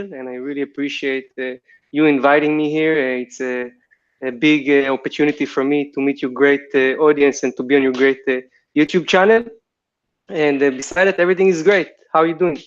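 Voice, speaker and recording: A man's voice: a little high, fairly even intonation, fairly quick, highs cut off. A man in his late twenties with an Israeli accent, telling his story as an interview guest, hesitant with many fillers and restarts. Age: 20s. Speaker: guest, software founder.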